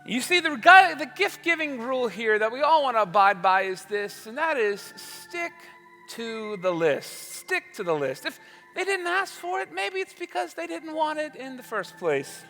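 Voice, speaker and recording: A man's voice: extremely high pitch, big pitch swings, normal speed, sharp tone, exaggerated voice. A man playing a jovial, wry old-time radio announcer, delivering comic sponsor spiels and monologues. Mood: wry, ranting. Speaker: radio show announcer and host. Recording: echoey hall, faint music.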